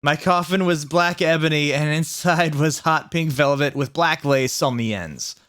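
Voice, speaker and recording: A man's voice: fairly high pitch, unhurried, a bit bright, clean tone. A man voicing a self-absorbed, melodramatic seventeen-year-old goth vampire witch girl narrating a fan fiction story. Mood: dramatic, gloomy.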